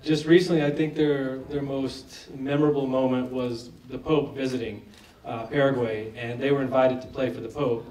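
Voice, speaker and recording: Man, hoarse, conversational Q&A answers, restarts sentences, roomy hall, distant from the mic. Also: mid-range voice, fairly even intonation, normal speed, darkish tone, slight background noise. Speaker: film director at a Q&A. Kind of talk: post-screening Q&A answers. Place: large screening hall. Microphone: lost microphone, speaking unamplified. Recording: echoey hall, voice from far off.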